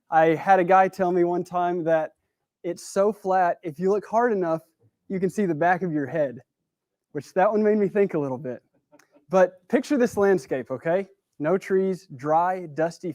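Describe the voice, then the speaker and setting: A man's voice: high voice, darkish tone, no room sound. A man from West Texas with a West Texas accent, giving a prepared stage talk in a folksy storytelling manner with dry jokes. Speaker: student speaker. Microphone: handheld or stand mic on stage.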